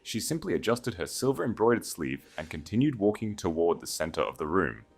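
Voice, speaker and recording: A man's voice: low-pitched, very animated, fairly quick, gravelly. A man reading a scripted dramatic story as an audiobook narrator. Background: faint music.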